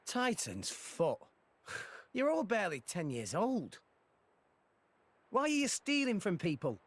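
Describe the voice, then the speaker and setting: A man's voice: high voice, wildly swooping pitch, slow, dry recording, heavily acted. A man playing a good-natured, plucky teenage boy hero with a British accent in a video game. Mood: earnest. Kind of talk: video game cutscene acting.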